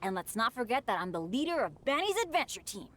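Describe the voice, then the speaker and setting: A woman's voice: on the high side, big pitch swings, quick pace, a bit bright. A woman voicing an upbeat, kindhearted teenage boy adventurer in a video game, earnest and friendly. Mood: bashful, warm.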